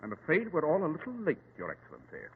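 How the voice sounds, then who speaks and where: Male detective: fast, authoritative, cool logic, lively inflection; old radio drama. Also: on the high side, creaky, phone-quality sound, exaggerated voice. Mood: composed. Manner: brisk, precise, authoritative. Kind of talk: 1940s radio drama acting. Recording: echoey, hissy old radio broadcast.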